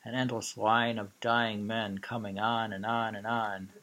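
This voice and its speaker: A man reading poems aloud and commenting informally, musing haltingly with muttered asides and drawn-out lines. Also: lowish voice, level tone, bright, forceful tone, slight background noise. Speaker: reader and commentator.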